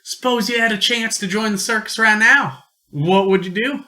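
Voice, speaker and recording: A man's voice: sits high, normal speed, pushed and bright, pitched above the performer's own voice. A man voicing an eager, secretive young farm boy with a boastful, folksy manner in an amateur radio-play reading. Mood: probing.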